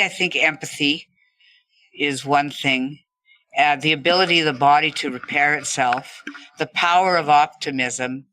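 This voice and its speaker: A woman's voice: low voice, sharp tone, no echo, rough recording. A woman talking reflectively as a podcast guest, earnest and appreciative. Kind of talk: reflective interview talk.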